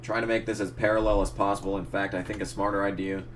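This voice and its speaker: Deep-voiced young man muttering while editing. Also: level tone, fast talker, slight background noise. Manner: mutters and swears at software, self-narrating. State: losing his voice. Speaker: gaming channel host. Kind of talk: casual tutorial commentary while editing.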